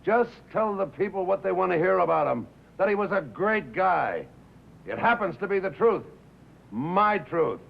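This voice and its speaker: Cocky, high-voiced American bandleader. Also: male voice, expressive, normal speed, vocal fry, heavily acted. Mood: insistent. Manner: slangy, cocky, punchy street talk. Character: brash, defensive male bandleader, fiercely loyal, wise-guy talker. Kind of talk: 1950s American film drama acting. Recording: muffled vintage film soundtrack.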